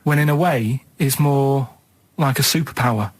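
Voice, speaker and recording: A male radio co-host chats casually in a very dry studio. He rambles through odd stories in colloquial phrasing, pausing and checking in with tag questions. His pitch sits in an ordinary male range. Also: no echo.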